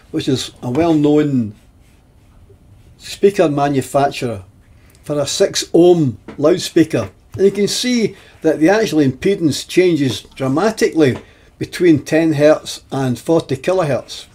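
An elderly man explains technical material step by step, as in an instructional video. His husky voice is mellow and unhurried. He phrases things deliberately, pausing often as he goes. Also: a little high. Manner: deliberate, halting phrasing with pauses. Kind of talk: explanatory technical lesson over slides.

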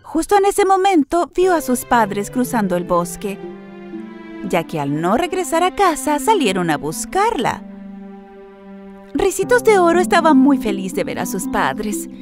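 A woman narrating a scripted children's story in an expressive storytelling manner. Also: sits high, measured pace, music in the background.

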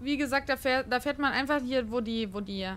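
Higher-voiced woman in role-play chat. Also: a little fast, fairly bright tone. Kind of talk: improvised in-character chat in a survival role-play.

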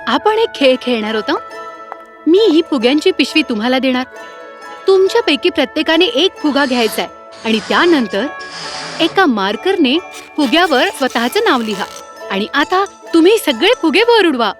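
A woman voicing a kind, eager young princess who teaches children in an animated fairy tale. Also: high voice, moderate pace, faint music behind. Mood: bright, encouraging. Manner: encouraging, addressing children. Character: earnest young princess turned new schoolteacher, kind and eager.

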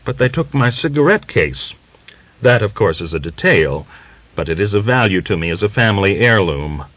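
A man's voice: on the low side, sing-song, normal speed, dry recording. A man voicing an earnest, anxious, formal army officer in an audiobook novel. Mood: urgent, polite. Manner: formal, emphatic, persuasive. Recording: dull lo-fi audiobook recording.

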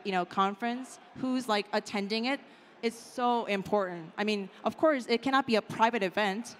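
Woman, thirties, casual stage speaker, filler-heavy chatty delivery, handheld mic, reverberant hall. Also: mid-range voice. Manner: chatty, lots of "like" and "right?" fillers. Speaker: conference speaker. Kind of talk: casual conference talk. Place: conference stage in a large hall. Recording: hall reverb with faint room noise.

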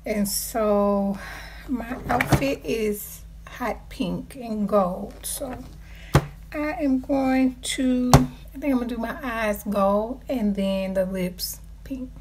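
A woman, hoarse from losing her voice, talking softly through a casual makeup tutorial in a small room, close to the camera. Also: a little high, takes it slowly, very noisy. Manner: soft-spoken, drops to a near whisper. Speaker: beauty vlogger. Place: small dark room indoors. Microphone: camera close to the face.

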